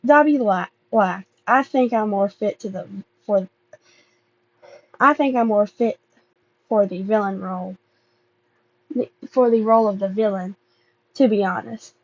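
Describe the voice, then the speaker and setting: A woman reading fan fiction aloud as an amateur narrator, halting and often stumbling over and repeating words. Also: mid-range voice, highs cut off. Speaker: amateur podfic reader.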